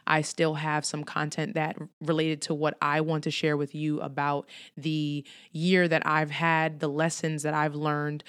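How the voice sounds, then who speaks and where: A woman's voice: low voice, smooth, dry recording, studio quality. A woman hosting her own podcast, a little under the weather, speaking in an earnest, encouraging way and repeating phrases for emphasis. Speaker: podcast host. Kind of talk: solo podcast talk.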